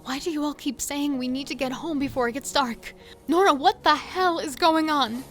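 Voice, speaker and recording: Casting: a lost, insistent middle sister in horror drama, high voice, urgent and pleading. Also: female voice, moderate pace, fairly bright tone. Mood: frantic, distressed. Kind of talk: audio horror drama acting with narration.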